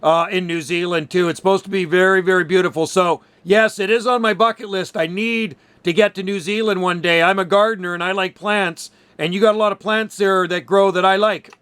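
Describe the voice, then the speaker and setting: A man around sixty with a high-pitched voice talks as an amateur radio operator. His style is casual and chummy, full of compliments and radio call phrases. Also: fairly even intonation, brisk, few pauses, fairly bright tone, clear, resonant tone. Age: about 60.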